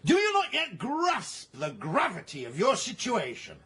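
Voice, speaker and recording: An actor playing a stern, pompous workhouse master, formal and exasperated, in a radio drama. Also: male voice, sits high, huge pitch swings, a little slow, pushed and bright, slightly rough, exaggerated voice. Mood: indignant.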